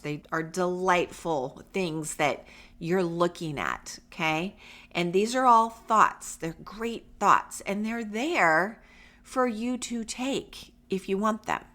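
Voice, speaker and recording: A woman's voice: fairly low pitch, pushed and bright. A middle-aged woman hosting a podcast, getting over a cold, speaking to listeners in a reassuring, conversational and emphatic way. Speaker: podcast host for homeschool parents. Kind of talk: encouraging solo podcast talk, addressing listeners directly.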